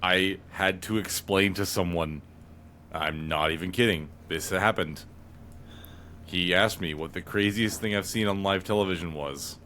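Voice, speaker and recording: A man in his thirties, a player chatting casually with friends, telling rambling stories that often trail off quietly. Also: low-pitched, measured pace, slight background noise. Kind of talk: casual chat between friends.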